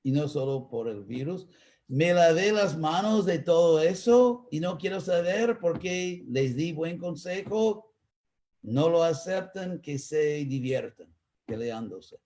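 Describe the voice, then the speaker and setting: A man with an American accent, a native English speaker, teaching in a live online talk and answering questions, pausing to search for words. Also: a little high, expressive, darkish tone. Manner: slow, searching for Spanish words with pauses. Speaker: spiritual teacher.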